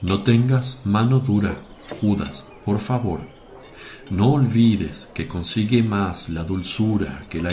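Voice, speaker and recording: A gentle but authoritative master played by a man in a radio play, with a low voice and very slow, measured delivery. Birdsong and outdoor ambience are clearly audible behind him. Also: slight background noise. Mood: gently admonishing. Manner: calm, measured, admonishing gently. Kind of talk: radio drama acting.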